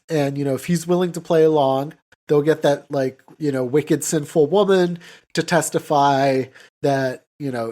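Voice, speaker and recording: Halting man recapping casually. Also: fairly high pitch, a little mellow. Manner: halting, filler-heavy with "like" and "you know". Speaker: podcast co-host. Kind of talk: casual film recap chat.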